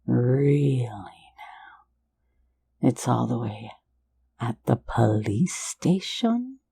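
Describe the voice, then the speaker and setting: A playful phantom thief, voiced by a woman, leans close to murmur in a low, gravelly whisper. The tone is dark and unhurried, teasing and flirtatious, delivered right against the mic like an intimate ASMR roleplay. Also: very animated, full character voice. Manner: soft intimate whisper, mocking and coaxing.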